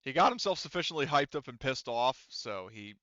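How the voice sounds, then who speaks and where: A man works as game master in a casual tabletop session, with a bright, crisp voice. He swears and jokes his way through the narration, recorded close and dry. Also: mid-range voice, animated, fairly quick, no echo, lo-fi sound. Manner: sweary, joking.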